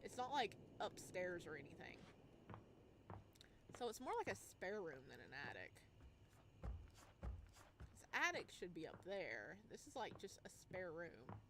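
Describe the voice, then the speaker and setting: A woman's voice: fairly low pitch, very animated, unhurried, long pauses, background noise. A woman gaming streamer giving casual let's play commentary, thinking aloud and reading game text. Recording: clear game music underneath. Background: video game music.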